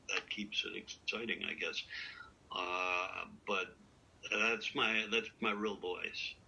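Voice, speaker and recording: Deep-voiced man, slow and halting, bright but husky tone; poet guest in casual interview. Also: poor audio. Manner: halting, many uh fillers, thoughtful.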